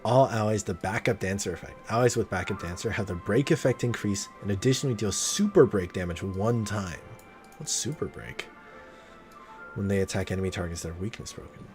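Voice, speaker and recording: A man giving casual, slangy live-stream gameplay commentary, swearing freely and getting excited. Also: lowish voice, brisk. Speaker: game streamer. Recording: game music behind the voice. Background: video game music and sounds.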